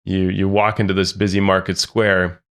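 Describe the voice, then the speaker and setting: A man's voice: low voice, level tone, moderate pace, fairly bright tone, clear, resonant tone. A man co-hosting a gaming podcast, talking chattily with lots of asides about running games. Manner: chatty, filler-heavy, full of asides. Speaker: podcast co-host. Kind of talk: casual podcast discussion.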